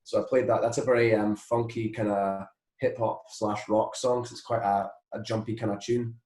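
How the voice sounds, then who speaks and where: A Scottish man, a musician being interviewed, telling anecdotes casually in a rambling, animated way. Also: on the low side, moderate pace, echoey room. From Scotland.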